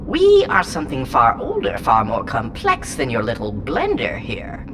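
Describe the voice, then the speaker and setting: A woman's voice: very deep voice, huge pitch swings, normal speed, full character voice. A woman voicing an ancient, whimsical and haughty alien entity inside a small robot in an audio drama, speaking in theatrical, rambling, pompous riddles. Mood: whimsical, musing. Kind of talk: full-cast audio drama acting. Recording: clear noise bed behind the voice.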